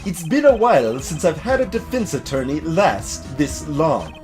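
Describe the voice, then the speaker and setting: Man performing a pompous, menacing older prosecutor villain, theatrically animated. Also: on the high side, measured pace, music in the background. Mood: contemptuous.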